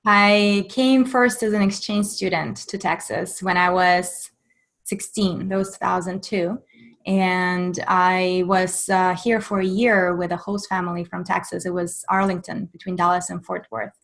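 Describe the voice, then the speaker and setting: A woman's voice: on the low side, fairly even intonation, unhurried, a bit bright. Russian-accented woman giving practical advice. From Far East of Russia. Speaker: mindfulness coach, webinar guest. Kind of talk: instructive webinar Q&A.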